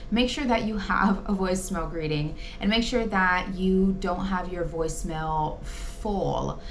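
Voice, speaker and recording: A woman's voice: lowish voice, slight background noise. A woman presenting an informal training talk, advising in an emphatic, conversational way. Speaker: presenter giving training tips to insurance agents.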